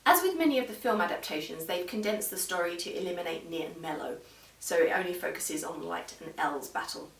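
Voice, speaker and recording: A woman giving an informal, measured review straight to viewers, explaining things as she goes. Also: fairly low pitch, expressive, creaky. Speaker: video reviewer. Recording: roomy echo, distant microphone.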